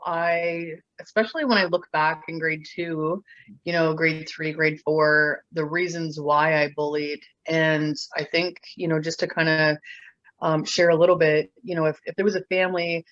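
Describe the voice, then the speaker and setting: A middle-aged woman from Ontario tells her own story as an interview guest. She talks haltingly, with many fillers and restarts. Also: deep voice, little pitch movement, fairly bright tone, no room sound, lo-fi sound. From Ontario, Canada. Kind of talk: candid personal storytelling.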